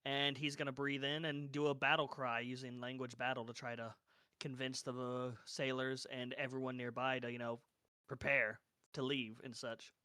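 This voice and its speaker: Clear, bright-voiced man playing tabletop roleplay. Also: mid-range voice, little pitch movement, normal speed, no room sound. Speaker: player in a tabletop roleplaying game. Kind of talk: casual gameplay chat, describing his character's actions.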